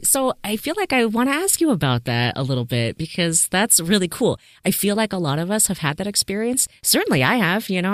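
A woman's voice: fairly low pitch, no room sound, studio quality. A woman hosting a casual podcast interview, encouraging and enthusiastic as she asks about her guest's experiences. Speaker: podcast host.

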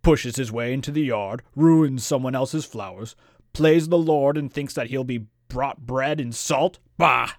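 A man's voice: mid-range voice, expressive, moderate pace, no echo, clean studio sound, close to an everyday voice. A man voicing a grumpy, blustering beast-man lord with theatrical indignation, reading from a fantasy storybook. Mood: indignant, blustering. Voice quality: raspy growl.

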